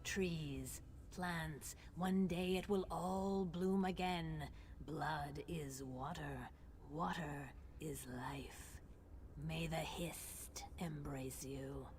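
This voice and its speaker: A woman's voice: sits low, drawn-out words, a little mellow, a little hiss or hum. A woman playing a solemn, self-sacrificing priestess in a video game, speaking with ritual gravity. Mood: whispered blessing.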